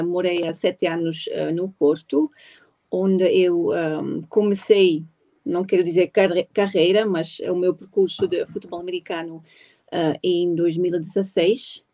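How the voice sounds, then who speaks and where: Woman, 20s, Italian native, guest interviewee; low, mellow voice, hesitant delivery. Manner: hesitant, many pauses and fillers. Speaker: guest athlete. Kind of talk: casual interview answers.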